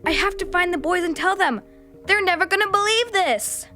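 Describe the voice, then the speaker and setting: An actress voicing a spirited, curious young girl with a direct, expressive manner in a children's audio drama. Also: very high-pitched, moderate pace, soft background music. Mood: excited, urgent.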